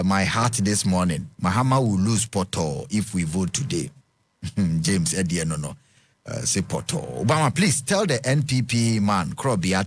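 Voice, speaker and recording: A man's voice: on the low side, no echo. A man with a Ghanaian accent hosting a radio show, reading listener text messages on air and mixing English with Twi. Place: radio studio.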